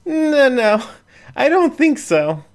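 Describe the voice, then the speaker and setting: A man's voice: very high voice, very animated, slow, pitched above the performer's own voice, heavily acted. A man voicing a bashful young female raccoon who hesitates and trails off in an audiobook reading. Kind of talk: storybook reading.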